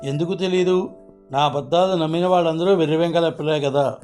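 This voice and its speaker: A man's voice: a little high, fairly even intonation, a little fast, a little mellow, faint music behind, lightly acted. A man playing village folk in the scripted dialogue of a storybook moral tale. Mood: shrewd. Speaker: voice of the story's characters.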